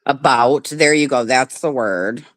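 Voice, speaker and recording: A woman's voice: extremely low pitch, unhurried, dry recording. A woman from the Midwest of the United States hosting a casual livestream chat, talking loosely, swearing freely and laughing often. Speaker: livestream host.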